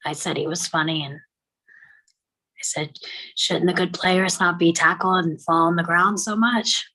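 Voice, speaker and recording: A woman playing a grieving mother who recalls her young son, halting and emotional, in a dramatic table read. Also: fairly low pitch, fairly even intonation, pushed and bright, poor audio. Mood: wistful, animated. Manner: halting, emotional, drops into whispers.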